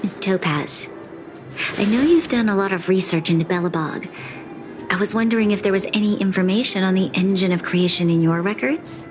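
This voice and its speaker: A woman playing an earnest, resolute young female leader in a video game cutscene, speaking in a measured, formal way. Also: medium pitch, close to an everyday voice. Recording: loud game music behind the voice. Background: music.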